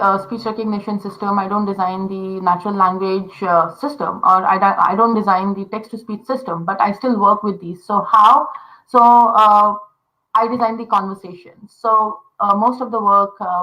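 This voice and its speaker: A woman explains conversational technology step by step, stopping often on "uh" and "you know". Her tone is bright and forward, sitting at a middle pitch for a woman. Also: rough recording. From India. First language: Telugu. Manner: patient explainer with many fillers and "you know". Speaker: voice interaction designer. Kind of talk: explaining in a casual interview.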